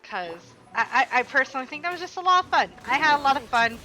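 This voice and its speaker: A woman's voice: sits high, lively intonation, quick pace, bright, forceful tone, low-quality recording. A woman streamer chatting casually and rambling while she plays a game, talking back to the characters on screen. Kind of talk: casual chat while gaming.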